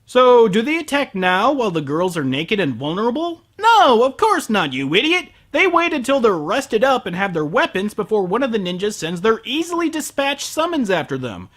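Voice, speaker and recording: A man's voice: sits high, sing-song, moderate pace, few pauses, a bit bright. A man delivering a scripted comedic review as host, sarcastic, exasperated and prone to swearing. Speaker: host of an anime review show.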